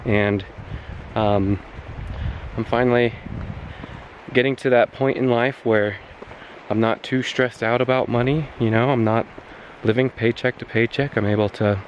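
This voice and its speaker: A man of thirty chatting casually and haltingly to a handheld camera while walking outdoors on a trail, in an easygoing way. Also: medium pitch, steady tone, dull audio. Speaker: vlogger. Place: outdoors on a wooded canyon trail. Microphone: handheld camera at arm's length. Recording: clear outdoor background noise on trail.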